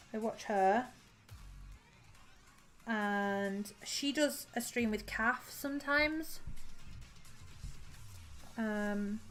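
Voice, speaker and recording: A woman streamer chatting casually with her viewers while she cross-stitches, rambling and laughing often. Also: mid-range voice.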